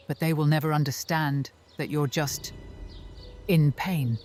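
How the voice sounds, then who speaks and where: A woman playing an enigmatic vampire, a motherly figure who speaks slowly and deliberately, soothing and persuasive, in a fantasy horror audio drama. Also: deep voice, a little mellow. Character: enigmatic, coaxing female vampire, motherly mentor to an orphan.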